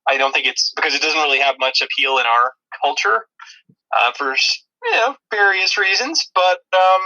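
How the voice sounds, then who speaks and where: A man's voice: fairly high pitch, pushed and bright, poor audio. A man co-hosting a podcast, casually explaining things in a rambling, dryly humorous way over a thin, radio-like connection. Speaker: podcast co-host. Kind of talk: casual chat about video-game movies.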